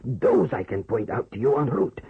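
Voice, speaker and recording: An actor in an old radio drama playing a measured, calculating communist officer, speaking formally and deliberately. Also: male voice, medium pitch, sing-song, gravelly, husky, no echo, exaggerated voice. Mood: earnest, guarded. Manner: deliberate, formal, hesitant pauses. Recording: muffled, narrow-band old radio recording.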